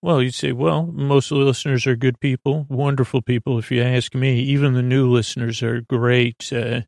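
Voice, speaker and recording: A man hosting a bedtime podcast in a creaky, soothing voice, rambling through improvised tangents with mumbles and stumbles over his words. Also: medium pitch, flat delivery, moderate pace, darkish tone, dry recording, studio quality. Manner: soothing, lulling delivery. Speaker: podcast host.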